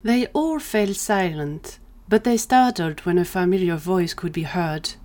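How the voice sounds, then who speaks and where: Slightly low-voiced woman narrating a story. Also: measured pace, a little mellow. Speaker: narrator of an audio drama.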